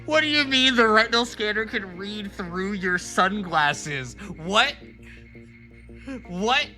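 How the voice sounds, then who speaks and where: A man rambles and jokes while he streams a game and talks to his chat. His voice sits fairly high and sounds bright and forceful. Faint game music plays underneath. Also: lively intonation, takes it slowly. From Rhode Island, New England. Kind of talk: casual let's-play commentary.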